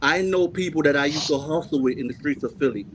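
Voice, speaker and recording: Jamaican-born host in his 40s, slightly high voice, pushy, keeps saying hold on, bit of noise behind. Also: fast talker, low-quality recording. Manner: forceful, keeps cutting in with "hold on" and repeats himself. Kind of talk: heated panel debate.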